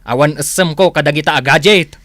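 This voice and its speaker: A man's voice: on the high side, fairly quick, pushed and bright. A man acting a stern, hardworking farmer and older brother in a radio drama, grumbling with an Ilocano accent. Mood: disapproving.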